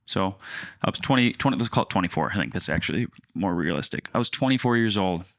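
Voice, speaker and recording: A man around thirty co-hosting a podcast, telling a personal story in a casual, halting, rambling way. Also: sits low, lively intonation, creaky, no room sound, studio quality. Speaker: podcast co-host, land agent.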